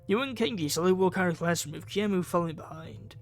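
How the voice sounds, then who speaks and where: A man's voice: high voice, fast, soft background music. A man reading a visual novel game aloud as dramatic narration, acting out lines and thoughts. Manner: acts out characters' lines and thoughts.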